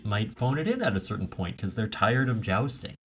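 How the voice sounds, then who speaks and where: A man with a fairly low voice talks casually as a podcast co-host. He tells stories in an animated way, dropping self-mocking asides into loose comedic chat. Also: a little fast, soft background music.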